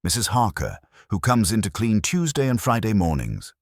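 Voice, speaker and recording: A man's voice: lowish voice, huge pitch swings, moderate pace, no echo, studio quality, voice pushed up. A man voicing a nervous, fussily precise small-town mayor in a storybook mystery. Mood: flustered, guarded. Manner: nervous, over-rehearsed, precise.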